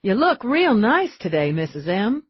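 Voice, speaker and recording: A woman's voice: medium pitch, sing-song, unhurried, slightly rough, pitched above the performer's own voice. A woman voicing a sly, boyish young man who is charming and ingratiating, in a dull lo-fi audiobook recording. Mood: eager.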